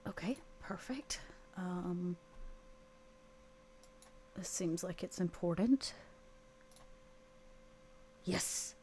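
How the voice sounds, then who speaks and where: Woman, lowish pitch, casual roleplaying player, hesitant, thinking aloud. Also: drawn-out words, long pauses, a little mellow, slight background noise. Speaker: player in a tabletop roleplaying podcast. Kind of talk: casual game talk, describing her character's actions.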